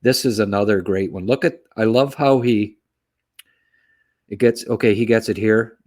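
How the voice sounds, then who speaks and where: Deep-voiced man talking hockey casually. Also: normal speed, a little mellow. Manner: chatty, tags remarks with "eh", chuckles at own asides. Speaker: sports podcast co-host and prospect analyst.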